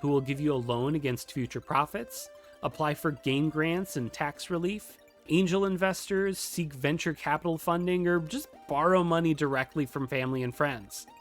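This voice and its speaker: Male tutorial narrator, slightly high voice, methodical scripted explainer delivery over background music. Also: normal speed. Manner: methodical explaining, lists items clearly. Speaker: video tutorial host.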